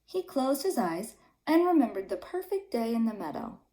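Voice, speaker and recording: Very animated woman reading to young children. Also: fairly high pitch, normal speed, reverberant, low-quality recording. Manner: simple, emphatic phrasing for small children. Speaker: teacher reading aloud to children. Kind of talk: expressive storybook read-aloud for young children.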